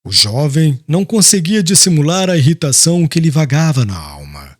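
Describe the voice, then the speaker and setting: A man narrating an audiobook dramatically, with solemn, literary, deliberate phrasing. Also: on the high side, very animated. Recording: prominent music under voice.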